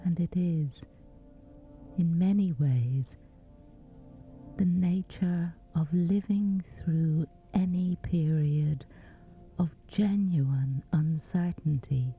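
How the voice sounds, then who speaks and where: Very slow, whispery low-voiced woman. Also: lo-fi sound. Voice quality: whispery, breathy. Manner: slow, hushed, pausing between short phrases. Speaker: sleep meditation guide. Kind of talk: guided sleep meditation, soft and breathy. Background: background music.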